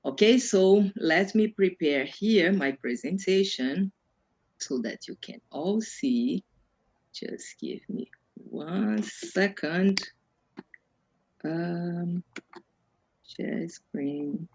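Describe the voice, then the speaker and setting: A woman's voice: fairly low pitch, animated, drawn-out words, long pauses, darkish tone, a little hiss or hum. A woman from Brazil with a Brazilian accent, a native Portuguese speaker, presenting an online workshop in a conversational way and rephrasing as she explains. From Brazil, Amazon region. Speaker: workshop presenter, self-development coach.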